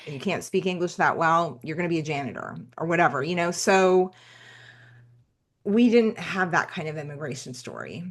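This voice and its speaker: An American woman speaks as a podcast guest in a slightly low voice. She rambles, stacking asides and restarts, with lively swings in her delivery. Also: normal speed. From United States. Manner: talkative, rambling, animated asides. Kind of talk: conversational podcast interview.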